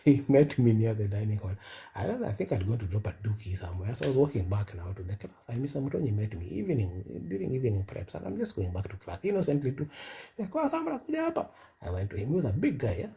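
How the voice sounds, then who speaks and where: A Kenyan man in his fifties telling rambling personal stories in a casual monologue, animated and given to repeating words. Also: fairly low pitch, fast, vocal fry. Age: 50s. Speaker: vlogger, comedian and doctor.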